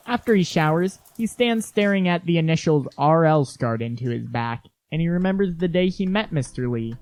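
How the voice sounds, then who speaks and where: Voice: medium pitch, a little mellow. A narrator reading scripted scene descriptions for an audio drama.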